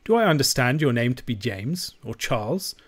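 Man playing a courteous, formal gentleman lawyer. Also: mid-range voice, lively intonation, moderate pace, natural voice. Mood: drily teasing. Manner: formal, civil, wordy. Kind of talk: audiobook character voice.

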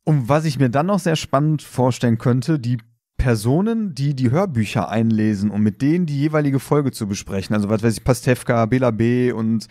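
A man's voice: medium pitch, expressive, a little fast, mellow, dry recording, clean studio sound. A man co-hosting a podcast in casual chat, talking informally like an enthusiastic fan. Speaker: podcast co-host.

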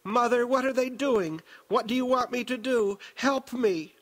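A possessed young woman pleads in little-girl tones as a male narrator puts her on for an audiobook. The delivery is high, lilting and imploring. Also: a little slow, a bit bright, heavily acted. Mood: frightened, pleading. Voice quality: sweet, lilting. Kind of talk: dramatic audiobook character acting.

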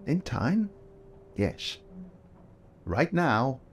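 An actor playing a kindly, gentle photographer who speaks softly and thoughtfully. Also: male voice, mid-range voice, sing-song, drawn-out words, a little hiss or hum. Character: kindly adult male photographer, gentle and reflective. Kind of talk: audio drama acting.

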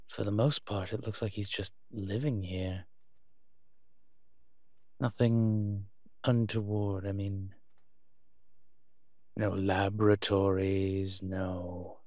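A man's voice: fairly low pitch, no echo, studio quality. A man playing a refined, centuries-old vampire in a roleplay audio drama, pompous, wry and teasing. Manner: pompous, wry, posh turns of phrase.

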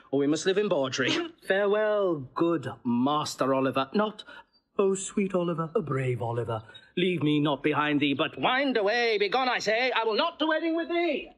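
An actor playing a witty, bawdy male court jester with a husky voice in a stage play, mocking and theatrical. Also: lively intonation, moderate pace, fairly bright tone. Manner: mocking, theatrical, wide swings in pitch.

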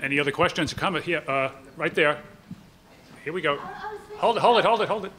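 A man's voice: fairly high pitch, brisk, fairly bright tone. A man moderating a panel, informally fielding questions from the audience.

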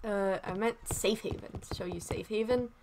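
A woman's voice: medium pitch, steady tone, moderate pace, darkish tone, a little hiss or hum, lightly acted. A woman playing a patient but exasperated medic in an improvised zombie roleplay game, talking hesitantly and placatingly. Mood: matter-of-fact.

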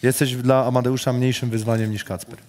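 A man hosting a rapid question round, reading statements aloud with a Polish accent and casually swearing. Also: medium pitch, level tone, darkish tone.

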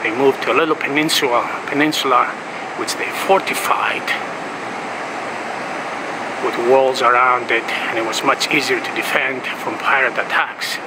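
A man speaks from inside a large church, talking viewers through its history with unhurried, explanatory phrasing and frequent pauses. His voice sits at an ordinary middle pitch. A heavy, steady hum of air conditioning and outside traffic runs under everything he says. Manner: relaxed, explanatory, pausing often. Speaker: travel vlogger. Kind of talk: walking tour narration.

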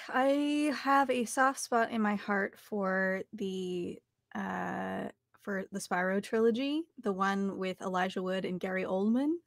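A woman's voice: medium pitch, slow pace, creaky, no echo, clean studio sound. A young adult woman, chatting casually as an interview guest. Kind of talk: casual chat.

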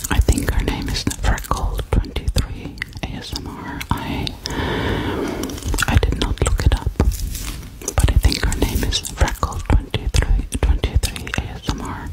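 A middle-aged woman doing ASMR roleplay in a breathy whisper very close to the microphone, often repeating words softly. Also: a little slow, rough recording. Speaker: ASMR artist. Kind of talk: ASMR roleplay as an alien girl. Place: small indoor room at home. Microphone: large tabletop microphone, very close.

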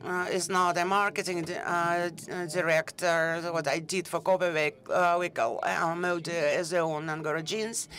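Low-voiced woman interpreting haltingly. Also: little pitch movement, fairly bright tone. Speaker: simultaneous interpreter.

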